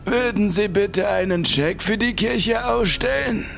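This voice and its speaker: A man voicing a humble, polite priest with a creaky, deferential manner, in a horror audio story. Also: high voice, a little slow, a bit bright, faint music behind, pitched above the performer's own voice, exaggerated voice. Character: humble male priest, polite and soft-spoken.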